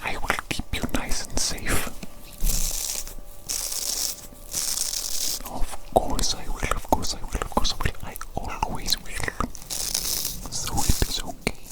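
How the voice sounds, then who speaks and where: Evil doctor for ASMR roleplay, male performer: slow, hushed whisper, fussy and precise yet soothing, with repeated phrases. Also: lightly acted, poor audio. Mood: soothing, tender. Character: evil doctor, male creator of creatures, gentle and fussily precise.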